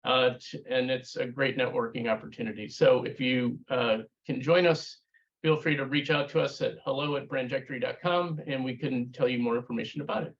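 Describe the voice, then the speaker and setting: Gravelly man hosting a webinar from far off. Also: medium pitch, steady tone, fairly quick. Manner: hesitant, with many uh fillers. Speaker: event host. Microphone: video call. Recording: dull distant video call audio.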